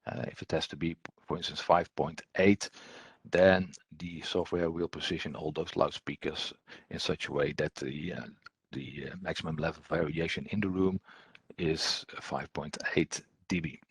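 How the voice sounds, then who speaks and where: A man giving an unscripted software tutorial as instructor, speaking haltingly and hesitantly, often repeating words. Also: very deep voice, steady tone, husky, no room sound.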